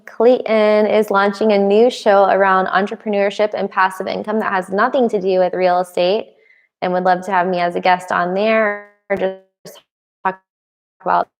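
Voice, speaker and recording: A young adult woman hosting a casual live stream Q&A, reading out viewer comments and answering them with frequent laughs. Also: mid-range voice. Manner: laughs often between remarks.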